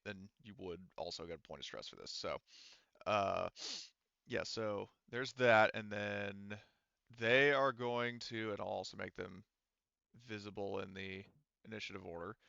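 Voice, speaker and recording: A man acting as game master in a tabletop roleplaying game, speaking haltingly as he thinks through the rules aloud. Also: lowish voice, creaky, pushed and bright, smooth, no room sound, muffled recording.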